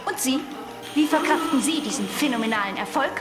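A woman's voice: sits high, expressive, brisk. An actress playing an insistent female sports journalist in a German radio drama. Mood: probing. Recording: roomy echo, music behind.

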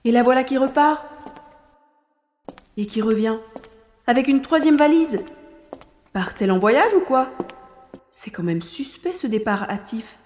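A woman's voice: a little high, animated, measured pace, pauses often, a little mellow, soft background music. A woman playing an anxious young sleuth in an audio drama, narrating inner thoughts while sneaking around, full of exclamations and questions. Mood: agitated. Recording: roomy long reverb, faint noise.

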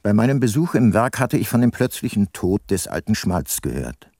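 A hard-boiled older detective in a radio drama, who speaks in a low register with widely moving intonation. He is terse, dry and cunning, pressing people hard. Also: sing-song, normal speed, mellow. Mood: dry narration. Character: tough, cunning aging male private detective, hard-nosed. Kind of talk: radio drama narration and dialogue.

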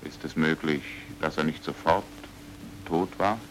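An earnest amateur investigator with a very deep voice. He probes politely and insistently, in radio crime drama style, on an old, slightly noisy recording. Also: fairly even intonation, a little slow. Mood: probing. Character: dogged, earnest foreign man investigating a friend's death.

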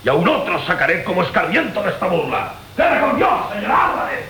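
A man's voice: high-pitched, huge pitch swings, brisk, bright, forceful tone, shouting, a faint crowd, exaggerated voice. A man playing a proud, blustering captain in a theatrical classical radio comedy. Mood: outraged. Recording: roomy, hissy 1980 radio broadcast.

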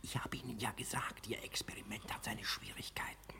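Whispering, creaky self-important director. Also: male voice, lowish voice, fairly even intonation, fairly quick. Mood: grumbling, hushed. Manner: whispering, throat-clearing. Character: fussy, self-important male director, impatient and grumbling. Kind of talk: 1960 German radio crime drama acting.

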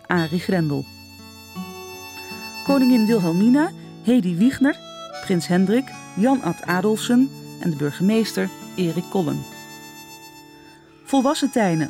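A woman reading scripted closing credits as a radio announcer. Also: medium pitch. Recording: music playing under the voice.

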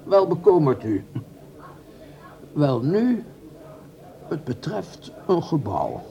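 A courteous gentleman crook, a doctor plotting in a radio thriller. His voice is high and bright, swinging in pitch as he phrases things formally with sly pauses. The recording is slightly dull. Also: sing-song, gravelly, exaggerated voice. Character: smooth, scheming gentleman doctor, broke ringleader of a robbery. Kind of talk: 1980s Dutch radio play acting.

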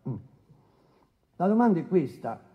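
A brusque presiding judge in a radio courtroom drama. His voice sits a little high for a man and he presses witnesses with paternal condescension, repeating himself. The sound is dull and lo-fi. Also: big pitch swings, a little slow. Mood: patronising, insistent. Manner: insistent, patronising, repeats himself. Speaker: actor in a radio courtroom play. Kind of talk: dramatised trial questioning.

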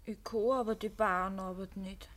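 An actress playing a sulky, defiant young peasant daughter in a radio play, speaking tersely with a Bavarian accent. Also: female voice, mid-range voice, steady tone, normal speed, close to an everyday voice. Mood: subdued, sulky. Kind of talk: naturalistic dialect radio drama acting.

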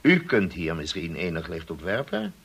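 An actor playing a formal, authoritative police colonel in a radio play, questioning in a measured, stern way. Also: male voice, low voice, big pitch swings, gravelly, a bit bright, breathy edge, heavily acted. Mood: formal, probing. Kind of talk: Dutch radio drama acting.

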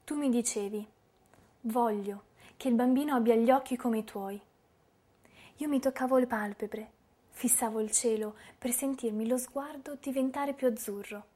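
An actress playing a sorrowful, tender young woman poet, reciting in measured, intimate phrases. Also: a little high, warm tone, lightly acted. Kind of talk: dramatic poetry recitation.

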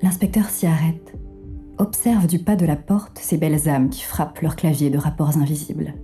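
A woman playing the wry omniscient narrator of a noir audio drama, measured and faintly ironic as she tells the story. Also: sits low, steady tone, dark tone, faint music behind, natural voice. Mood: detached, wry.

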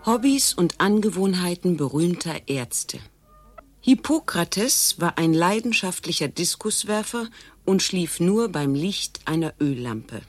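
A woman's voice: on the low side, very animated, unhurried. A woman playing a matter-of-fact narrator of absurd trivia in a mock-documentary radio play.

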